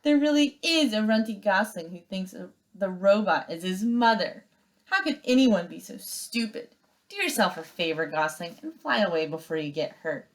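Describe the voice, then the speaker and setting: A woman reading aloud as a bossy young sister bear from a children's storybook. She is sarcastic and snappish, mocking others in a lively, swinging voice. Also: medium pitch, moderate pace.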